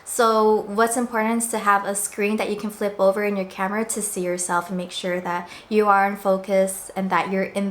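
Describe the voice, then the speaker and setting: A woman in her late twenties talking casually to camera indoors. She explains things in a chatty, upbeat way, and her voice is slightly husky from a swollen throat. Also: medium pitch, fairly bright tone. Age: late 20s. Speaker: vlogger and blogger. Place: indoors at home.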